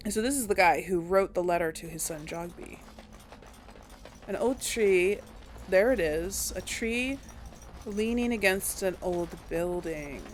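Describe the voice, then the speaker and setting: A woman's voice: lowish voice, unhurried, slight background noise. A young woman chatting casually through a video game, thinking aloud and correcting herself as she goes. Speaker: let's play host. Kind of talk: casual gameplay commentary.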